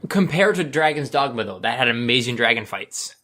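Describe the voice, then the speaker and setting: Bright-toned man in casual chat. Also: medium pitch, animated, a little fast. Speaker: podcast host.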